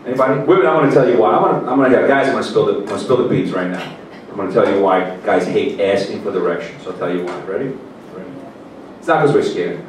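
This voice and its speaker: A man's voice: fairly low pitch, lively intonation, fast, a little hiss or hum. A man raised in Queens doing a brash, profane stand-up set at a comedy club, working the crowd between bits. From Russia, raised in Queens, New York. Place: comedy club, live audience. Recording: echoey club, distant mic, loud laughing crowd.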